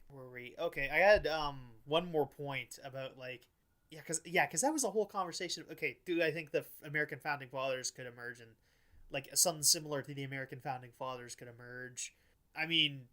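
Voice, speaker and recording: Male podcast host, mid-range voice, loose and halting delivery, thinks aloud in informal political chat. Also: mid-range voice, pushed and bright. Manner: rambling, halting, frequent "like", casual swearing. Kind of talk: casual political discussion.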